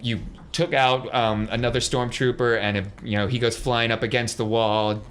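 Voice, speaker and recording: A man's voice: medium pitch, flat delivery, moderate pace, fairly bright tone, a little hiss or hum. A man working as game master, improvising vivid, casual narration for a tabletop game. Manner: vivid, gory description in casual slang.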